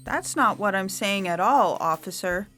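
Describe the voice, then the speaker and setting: A woman acts an elderly, well-to-do eyewitness in an audio story. She speaks at a medium pitch and insists on her account. Faint music sits behind her. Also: expressive, a little slow, sharp tone. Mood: insistent. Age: septuagenarian figure.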